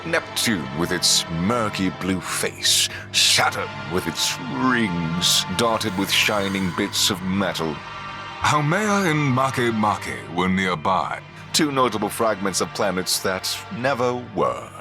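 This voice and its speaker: Deep, ominous male sci-fi narrator. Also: animated, slow pace. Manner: ominous, solemn. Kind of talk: dramatic scripted sci-fi audio drama narration. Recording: loud music under the voice. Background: cinematic music.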